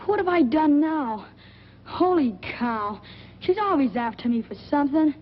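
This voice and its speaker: Mischievous, slangy small boy, high voice, muffled vintage movie sound. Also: expressive, unhurried. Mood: sulky. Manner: slangy, boyish. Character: mischievous, restless small boy, sulky but pally. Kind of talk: 1940s film acting. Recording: dull, noisy old film soundtrack.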